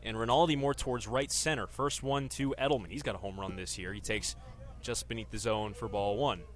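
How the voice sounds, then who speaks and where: A man's voice: fairly low pitch, a little fast. A man in his twenties working as a sports announcer, delivering reporting and live baseball play-by-play commentary. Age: 20s.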